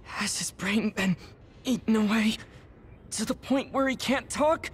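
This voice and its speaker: A woman's voice: on the high side, a little slow, a little hiss or hum, lightly acted. An actress playing a composed, serious female soldier who speaks firmly in a video game dub. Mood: concerned. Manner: firm, serious. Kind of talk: English game dub acting. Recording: dramatic game music behind.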